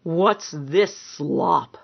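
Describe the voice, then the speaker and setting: A woman's voice: low voice, very slow, vocal fry, husky, exaggerated voice. Brutish abusive male lover played by a woman narrator, growling and contemptuous, storybook drama, slightly muffled sound. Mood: sneering. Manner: growling, bullying, roaring contempt. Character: big brutish angry man, abusive sneering lover.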